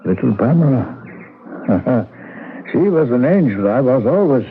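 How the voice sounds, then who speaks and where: An actor playing an old groundskeeper, wry, guarded and folksy, who rambles and hesitates in a radio drama. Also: male voice, mid-range voice, sing-song, slow pace. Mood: wistful. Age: older. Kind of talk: 1970s radio drama acting. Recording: muffled old radio broadcast with hiss.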